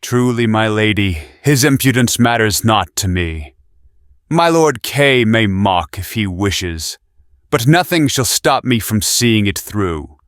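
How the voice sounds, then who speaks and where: Proud, low-voiced young knight. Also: male voice, lively intonation, takes it slowly, dry recording, studio quality. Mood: resolute. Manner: formal, earnest, declamatory. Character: Yvain, proud resolute male young knight. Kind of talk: audio drama acting.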